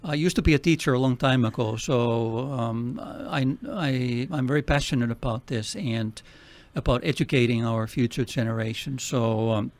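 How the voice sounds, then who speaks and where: A man with a heavy Finnish accent, speaking as a nonprofit president in a radio interview, earnest and halting, with many fillers. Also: mid-range voice. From Finland.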